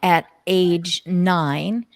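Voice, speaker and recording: A woman in her sixties from Oregon, hosting a relaxed panel chat and asking her guests curious questions. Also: on the low side, extremely slow, no room sound, clean studio sound. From Oregon, United States. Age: 60s. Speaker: podcast host.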